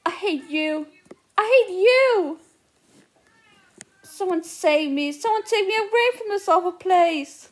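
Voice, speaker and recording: A woman's voice: very high voice, animated, pitched above the performer's own voice, full character voice. A young woman playing a dramatic, self-pitying, petulant teenage girl in a theatrical amateur film-scene reenactment. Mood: indignant, dramatic. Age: young adult. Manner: theatrical, declamatory, drawn-out. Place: indoor room at home. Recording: noisy handheld phone recording.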